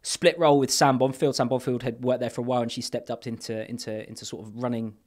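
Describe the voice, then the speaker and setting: A man's voice: mid-range voice, fairly even intonation, quick pace. Rambling man in a podcast studio. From England. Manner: rambling, many restarts and fillers, trailing off quietly. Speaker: podcast guest. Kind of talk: casual interview chat. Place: podcast studio with brick wall. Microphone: boom mic on arm.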